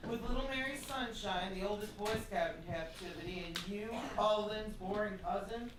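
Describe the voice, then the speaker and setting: A woman playing a skeptical radio show colleague in a live comedy, deadpan and caustic. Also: medium pitch, normal speed, natural voice. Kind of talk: live old-time radio comedy acting. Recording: very noisy, distant, echoey stage recording.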